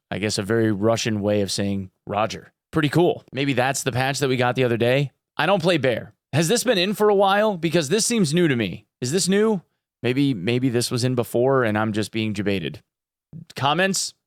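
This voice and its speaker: A man's voice: medium pitch, expressive, moderate pace, dry recording, clean studio sound. A man hosting a gaming news video in a chatty, informal way with playful emphasis. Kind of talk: casual news commentary with sponsor read.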